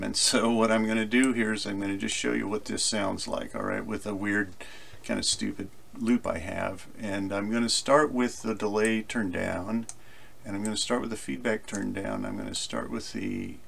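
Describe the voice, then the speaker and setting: A man presenting an informal software screencast tutorial, explaining conversationally as if thinking aloud. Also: lowish voice, vocal fry, a little hiss or hum.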